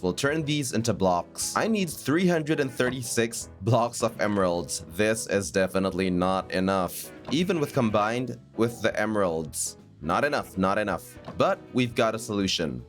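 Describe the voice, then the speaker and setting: A man narrating his gameplay in a chatty, self-mocking voice-over, a bit raspy from a cold. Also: lowish voice. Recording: faint background music.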